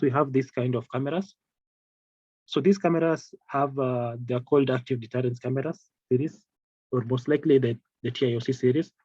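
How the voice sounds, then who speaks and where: A man's voice: mid-range voice, a little mellow, no room sound. Hesitant male product trainer, dull audio. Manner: halting, repetitive, hesitant delivery. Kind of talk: informal slide presentation of products. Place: online video call with screen-shared slides.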